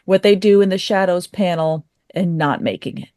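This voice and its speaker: A woman's voice: on the low side, moderate pace, over a noisy crowd. A woman chatting casually and informally as a guest answering questions on a podcast. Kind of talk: casual chat.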